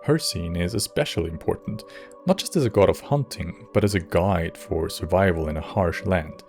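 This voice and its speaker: A man giving scripted narration for a game lore video in a measured, slightly wry way. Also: low voice, darkish tone, soft background music.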